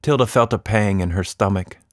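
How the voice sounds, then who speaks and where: A man narrating a scripted children's story as a storyteller, in an expressive, deliberate manner. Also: on the low side, no echo, studio quality.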